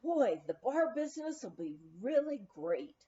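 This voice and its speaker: A woman's voice: high voice, measured pace, muffled recording. A woman voicing an eager, folksy cowboy bartender in a storybook reading. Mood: excited. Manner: folksy, excitable.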